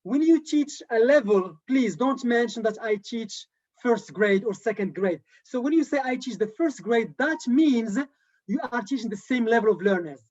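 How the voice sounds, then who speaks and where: A man's voice: extremely high pitch, expressive, normal speed, a little mellow, lo-fi sound. A man leading a live online teacher-training session, lecturing in an encouraging way and repeating his key points. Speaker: TESOL trainer.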